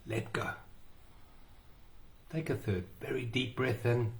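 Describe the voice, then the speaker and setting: A man guiding a meditation in a slow, soothing way with long pauses. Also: medium pitch, fairly even intonation, vocal fry. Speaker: meditation guide. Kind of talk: guided meditation.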